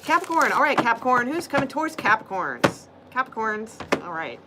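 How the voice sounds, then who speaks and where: A woman's voice: fairly high pitch, very animated, fairly quick, very noisy. A woman doing a casual, rambling live tarot reading, laughing often and losing her voice. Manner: rambling, chatty, laughs often, frequent fillers. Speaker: tarot reader.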